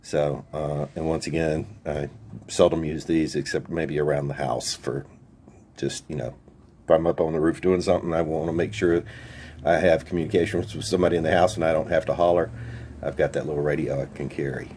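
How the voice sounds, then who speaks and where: A man's voice: rumbling low, fairly even intonation, fairly quick, darkish tone, slight background noise. A man hosting a video, explaining gear in an informal, folksy, plain-spoken way. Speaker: YouTube channel host. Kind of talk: casual product overview, explaining radio gear.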